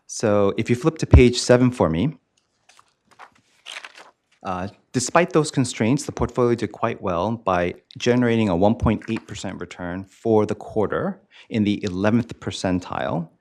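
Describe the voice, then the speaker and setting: A man's voice: lowish voice, a little mellow. A man presenting investment figures at a committee meeting, explaining them informally and in plain terms.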